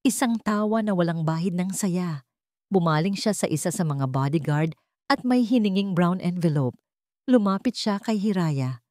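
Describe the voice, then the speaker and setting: A woman's voice: fairly low pitch, lively intonation, moderate pace, warm tone, no echo, studio quality. A woman narrating a scripted dramatic story as a storyteller.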